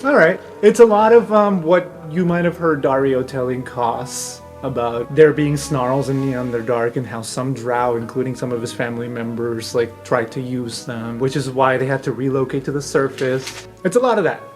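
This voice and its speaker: A man with a mid-pitched voice runs a tabletop fantasy game as game master, improvising his narration. He is recorded in a roomy space, with music playing underneath. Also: normal speed, hardly any pauses.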